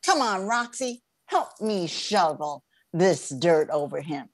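A woman's voice: lowish voice, expressive, slow pace. Middle-aged woman as a fierce vengeful big sister. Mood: menacing. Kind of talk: 1940s radio drama acting. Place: small home room, video call.

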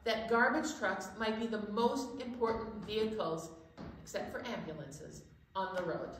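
A woman's voice: medium pitch, unhurried, slight background noise. A middle-aged woman hosting a children's storytime, talking straight to young viewers with emphasis. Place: indoor library storytime set, seated. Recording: echoey room, far from the mic.

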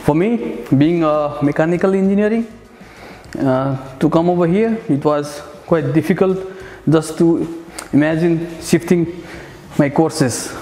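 A man's voice: fairly high pitch, unhurried, rough recording. Hesitant young man from Nepal. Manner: hesitant, many "uh" fillers, soft chuckles. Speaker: master's student. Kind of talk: casual testimonial interview. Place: outdoors on a city riverside path and in a bright building.

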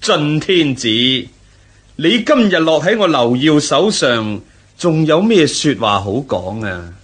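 Swaggering, gloating warlord general, slow-paced. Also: male voice, fairly high pitch, very animated, fairly bright tone, slightly rough, full character voice. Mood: gloating, mocking. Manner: swaggering, mocking, drawn-out. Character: gloating, arrogant conquering male Xiongnu general. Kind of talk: historical radio drama acting.

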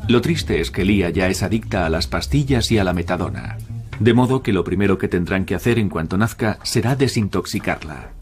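A man's voice: sits low, lively intonation, fairly quick. A man with a Castilian Spanish accent reading scripted documentary narration. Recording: music under the voice. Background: guitar music.

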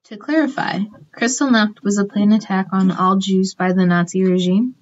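A woman's voice: mid-range voice, fairly even intonation, moderate pace, lightly acted. A young woman acting in a radio play as an earnest, polite interviewer who speaks with care. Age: young adult. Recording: dull audio with music behind.

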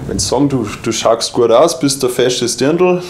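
A man's voice: mid-range voice, normal speed, a little mellow, reverberant, slight background noise. Young Bavarian man, casual talker. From Bavaria, Germany. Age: young adult. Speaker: newspaper reporter as guest. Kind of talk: casual chat. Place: indoor green-screen studio.

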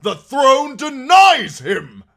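A man's voice: very high voice, big pitch swings, extremely slow, creaky, a bit bright, pitched above the performer's own voice, exaggerated voice. A man voicing a solemn knight turned fiery zealot, crying out fervent, declamatory curses in an audiobook story. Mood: fanatical fury.

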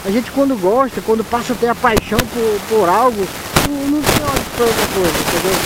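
A young man with an Amazonas Brazilian Portuguese accent talks casually and repeats himself as he rides a motorcycle through the city. A helmet camera mic picks him up outdoors. Loud road and wind noise runs under everything he says. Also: high-pitched, fast talker. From Manaus, Brazil. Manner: rambling, slangy, repeats himself. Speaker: motovlogger. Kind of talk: casual chat while riding.

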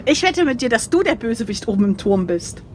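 A woman's voice: on the high side, big pitch swings, a little fast, noisy background. A woman bantering casually as a podcast co-host and player, cheeky and mock-indignant. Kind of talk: casual banter while playing a gamebook.

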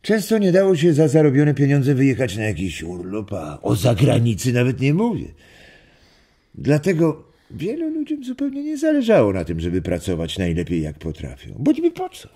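Grandfather for kids' audio drama: old, warm storyteller, hugely expressive pitch, husky tone. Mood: storytelling. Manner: animated storytelling with chuckles and drawn-out words. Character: warm old grandfather reminiscing with his family. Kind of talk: children's radio play acting.

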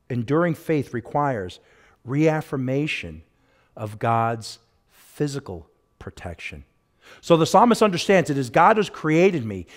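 A middle-aged man preaching a sermon at a church pulpit, emphatic and repeating phrases for weight, moving between hushed and raised delivery. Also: medium pitch. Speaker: pastor preaching. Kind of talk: sermon with scripture exposition.

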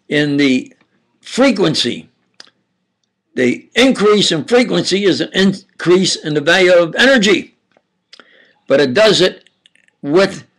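An older man with an Upstate New York and New Jersey accent, giving a halting, insistent monologue lecture with sudden loud emphasis. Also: high voice. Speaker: self-styled preacher lecturing on camera.

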